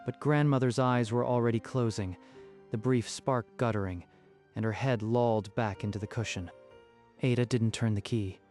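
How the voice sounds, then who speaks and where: A man with a medium-pitched voice narrates a scripted dramatic story. Music plays clearly behind his storytelling. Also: steady tone, moderate pace, a little mellow.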